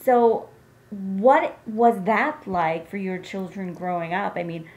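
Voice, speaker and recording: A woman's voice: medium pitch, slow, darkish tone, muffled recording. A woman hosting an interview, asking probing questions with fillers like "you know" and "I mean". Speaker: interview host. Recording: echoey room with faint noise.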